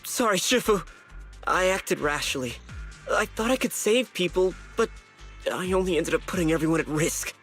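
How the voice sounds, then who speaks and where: An actor playing an earnest, dutiful young martial arts pupil in a video game, formal and deferential. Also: male voice, high-pitched, normal speed, fairly bright tone, faint music behind. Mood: contrite.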